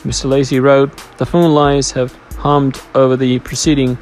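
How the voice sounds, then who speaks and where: A man's voice: medium pitch, little pitch movement, moderate pace, a little mellow. A man reading a scripted royal news narration, stumbling now and then as he reads. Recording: music behind the voice. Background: background music.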